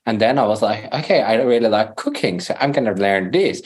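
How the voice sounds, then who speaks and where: A man's voice: lowish voice, a little fast. Man telling his story. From Iran. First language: Farsi. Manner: animated storytelling, acts out his own past dialogue, laughs often. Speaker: podcast guest. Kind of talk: conversational interview.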